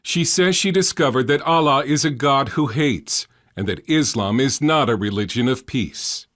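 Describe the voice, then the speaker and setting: A man's voice: medium pitch, moderate pace, a bit bright. A man narrating a scripted news report as a reporter.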